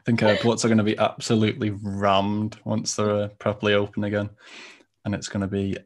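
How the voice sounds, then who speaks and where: A young man from the United Kingdom hosting a podcast, chatting in a relaxed way with lots of fillers over a headset mic. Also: fairly low pitch, fairly quick. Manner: relaxed, filler-heavy, says "like" a lot. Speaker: podcast host. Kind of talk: casual video-call chat. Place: home room indoors.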